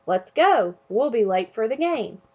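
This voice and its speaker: A woman's voice: a little high, sing-song, measured pace, lo-fi sound. A woman voicing an urgent, bossy frog father who coaches soccer in a storybook, calling out instructions.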